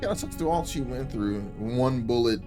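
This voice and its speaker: A man's voice: mid-range voice, lively intonation, normal speed. A man giving casual, amused commentary as the host of a let's play. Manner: amused, colloquial. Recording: loud game music and effects behind.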